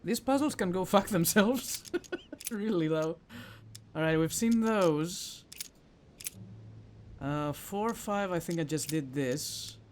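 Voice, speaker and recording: A man's voice: high voice, animated, slow pace, pauses often, a little hiss or hum. A man giving casual let's play commentary, thinking aloud informally as he works through a game. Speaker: gamer playing a puzzle game.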